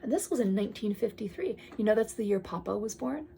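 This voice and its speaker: Animated woman reading aloud to a child. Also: medium pitch, fast, gravelly, husky. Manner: expressive, wonder-filled, often dropping to whispers. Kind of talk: children's read-aloud with chatty asides.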